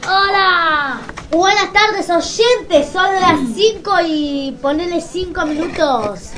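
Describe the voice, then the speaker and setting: A young woman with a high, girlish pitch hosts a casual community radio show. She speaks with an Argentine accent, chatting informally as a presenter. Faint music plays behind her. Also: slow, bright, forceful tone. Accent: Argentine Spanish. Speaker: radio host.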